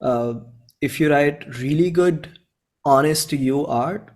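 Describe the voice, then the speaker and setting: A man in his twenties from India with an Indian accent, talking informally and earnestly as an interview guest, in halting phrases. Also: on the high side, darkish tone. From Bhopal, India. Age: 20s. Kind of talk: casual chat.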